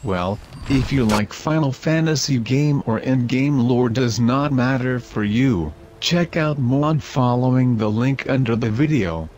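A man's voice: medium pitch, unhurried, few pauses, darkish tone, a little hiss or hum. A synthetic male text-to-speech voice reading a scripted video review in a mechanical way. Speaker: video narrator reviewing game mods. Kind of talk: scripted narration.

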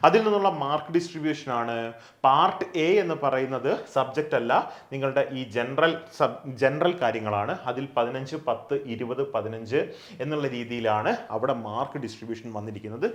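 A young man teaching an exam prep lesson. He asks questions and answers them himself, and he keeps adding quick tag questions. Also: medium pitch, a bit bright. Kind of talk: explainer lesson on an exam, mixing English terms. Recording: echoey room.

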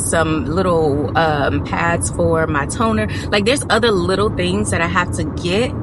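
A young woman, chatting and confiding to her phone camera from a parked car. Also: fairly low pitch. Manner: chatty, confiding, drawn-out pauses. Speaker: vlogger. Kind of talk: casual chat to camera. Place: parked car, indoors of vehicle. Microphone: phone camera on dashboard. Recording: car with road noise behind.

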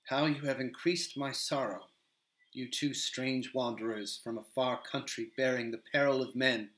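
A man voicing a grave, noble male captain of men who speaks slowly and softly. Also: mid-range voice, fairly even intonation, natural voice. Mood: stern. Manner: slow, soft, measured. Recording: roomy echo.

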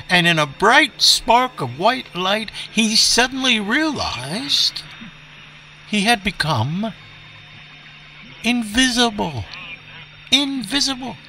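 A man voicing an eccentric professor who tells children's stories on old radio, theatrical and unhurried, with dramatic pauses. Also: high-pitched, sharp tone, far from the mic, slight background noise, heavily acted, low-quality recording. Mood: animated, theatrical. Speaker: storyteller.

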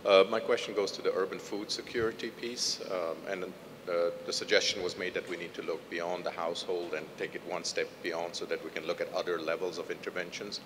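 A middle-aged man with a very deep voice asks a considered question, pausing on fillers along the way. He speaks into a handheld mic in a large hall full of long reverb and a faint low rumble. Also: level tone. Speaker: audience member asking a question. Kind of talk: conference question.

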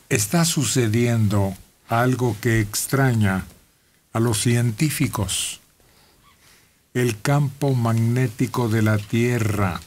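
An older man from Mexico reading news notes slowly at a radio studio table. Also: medium pitch, a little mellow, a little hiss or hum. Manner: slow, deliberate reading from paper. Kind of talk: reading news items on air. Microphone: desk microphone.

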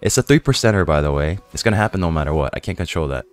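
A young man with a hoarse voice from a cold, chatting casually to viewers while playing a game, rambling with lots of fillers. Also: low voice, lively intonation, racing, soft background music. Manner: chatty, rambling, many fillers and self-questions. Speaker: gaming YouTuber.

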